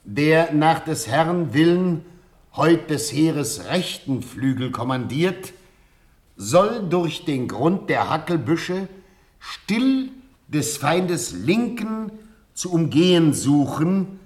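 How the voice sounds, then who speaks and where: An actor playing a formal, methodical field marshal in a classical German radio play, speaking in measured, emphatic dictation. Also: male voice, fairly high pitch, very animated, a little mellow.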